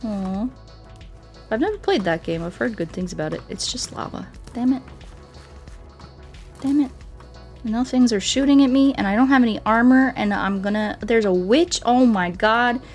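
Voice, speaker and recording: A woman's voice: on the high side, moderate pace, long pauses. Playful woman streaming over game music. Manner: playful, self-deprecating, expressive. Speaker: livestreamer playing a game and chatting with viewers. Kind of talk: casual gameplay chat, reading out viewer comments. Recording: music playing behind the voice.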